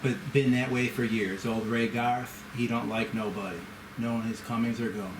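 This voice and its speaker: Man playing an elderly folksy Southern gentleman boss, echoey. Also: mid-range voice, steady tone, moderate pace, noisy background, close to an everyday voice. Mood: gossipy, grave. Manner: courteous, folksy, conspiratorial. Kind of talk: amateur screenplay table read.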